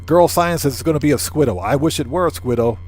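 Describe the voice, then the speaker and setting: A man's voice: fairly high pitch, quick pace, a little mellow, a little hiss or hum. A man hosting a casual gameplay livestream, commenting in exclamations and emphatically repeated short phrases. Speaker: livestream host.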